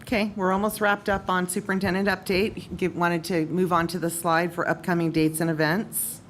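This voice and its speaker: A woman with a somewhat low voice wraps up a superintendent's update in an easy, slightly informal manner. She speaks into a meeting-room microphone, with faint hiss behind her. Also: little pitch movement, moderate pace. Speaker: school superintendent. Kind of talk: formal update at a board meeting. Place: school district boardroom.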